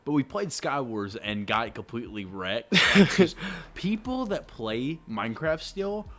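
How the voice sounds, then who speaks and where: A young man with a deep voice co-hosting a podcast in casual chat, loud and excitable, jumping between shouting and low mumbling. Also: measured pace. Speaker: podcast co-host. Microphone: desk USB microphone.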